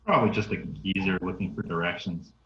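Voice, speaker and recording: A man's voice: low voice, a little fast, a bit bright. A man playing a confident, protective thirteen-year-old boy in a screenplay table read, speaking in a casual, reassuring way. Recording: echoey room, dull noisy microphone.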